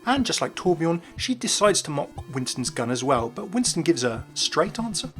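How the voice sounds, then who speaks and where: A man's voice: a little high, sing-song, moderate pace, a bit bright, faint music behind. A man presenting scripted commentary on video game lore as a voice-over. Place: voice-over with faint music behind.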